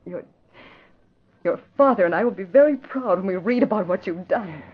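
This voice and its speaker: Earnest young radio-drama heroine, muffled vintage sound. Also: female voice, medium pitch, animated, breathy edge. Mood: halting, tearful resolve. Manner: halting, emotional delivery. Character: earnest young woman reporter, tearfully brave, secretly lovelorn. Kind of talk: 1940s radio drama acting.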